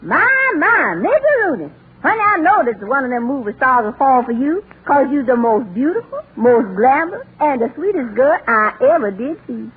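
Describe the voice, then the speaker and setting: An actress playing a big, good-natured, flattering Southern maid with a folksy drawl in 1940s radio comedy style. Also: female voice, high-pitched, moderate pace, husky, exaggerated voice. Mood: admiring. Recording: tinny, hissy old radio broadcast.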